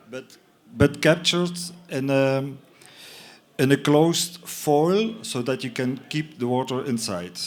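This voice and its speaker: Man, English, slow, halting, filler-heavy, conference talk. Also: mid-range voice. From Belgium. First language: not English. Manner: halting, many fillers and restarts. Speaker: conference speaker. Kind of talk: presentation talk. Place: conference lounge in a stadium.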